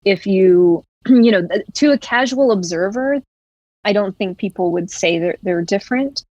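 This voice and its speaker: A woman's voice: mid-range voice, normal speed, a little mellow, no room sound, poor audio. A young woman from West Virginia, raised in Florida, talking reflectively as a podcast guest. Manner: reflective, many "like" fillers. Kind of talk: casual conversation.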